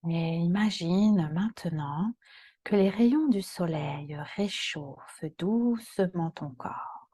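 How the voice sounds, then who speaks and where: A woman yoga teacher guiding a relaxation meditation in a calm, soothing, soft voice that often turns breathy. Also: lowish voice, dry recording. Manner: calm, soothing, gentle instructions with long pauses.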